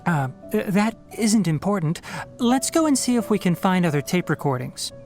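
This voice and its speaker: Lowish, lively young male scholar, Tighnari. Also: moderate pace. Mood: embarrassed. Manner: explanatory, articulate, slightly dry. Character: Tighnari, young male scholar, matter-of-fact, composed, a bit dry. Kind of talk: anime-style video game acting. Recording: soft music behind.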